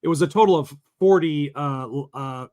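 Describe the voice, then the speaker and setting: A man in casual conversation as a guest, his voice raspy and worn from long recording, speaking thoughtfully with some restarts. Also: fairly high pitch, normal speed, darkish tone. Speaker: guest, film festival organizer.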